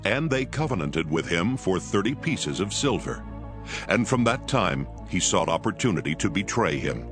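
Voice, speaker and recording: A man's voice: deep voice, sing-song, normal speed. A man giving a scripted narration of scripture in archaic English. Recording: voice over prominent music.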